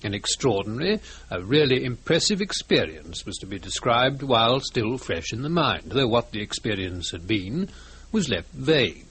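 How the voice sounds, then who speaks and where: A genteel, fussy colonel in an old-time radio play. His mid-pitched voice rises and falls freely. He speaks with formal, polite precision, as chairman and storyteller. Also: big pitch swings, normal speed, fairly bright tone, a little hiss or hum. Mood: reflective, faintly disapproving. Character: genteel, fussy retired British colonel, society president. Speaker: narrator and chairman.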